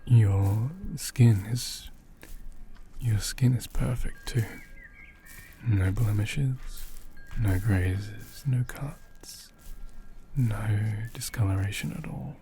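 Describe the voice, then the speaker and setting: A man acting as a tender, curious doll repairer in an ASMR audio drama. His low voice is soft and mellow, often slipping into a whisper. He murmurs very slowly and soothingly, with thoughtful hums. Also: slight background noise. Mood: softly admiring. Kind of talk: ASMR roleplay, soft-spoken and half-whispered.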